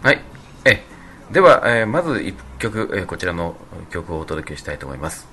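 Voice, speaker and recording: A man's voice: deep voice, sing-song, normal speed, sharp tone. A man chatting casually as co-host of a radio show. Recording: faint music behind the talk. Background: faint background music.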